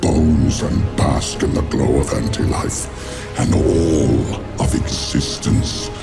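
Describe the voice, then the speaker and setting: An actor playing an ancient, merciless alien warlord, deep and commanding with a gravelly edge. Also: male voice, slow pace, full character voice. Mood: menacing. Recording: loud music underneath.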